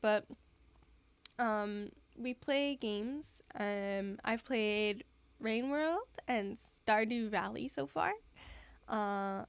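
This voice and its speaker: A woman's voice: a little high, drawn-out words, smooth, no echo. A woman live streamer chatting casually with her viewers, giggly and rambling. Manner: giggly, rambling, soft-spoken.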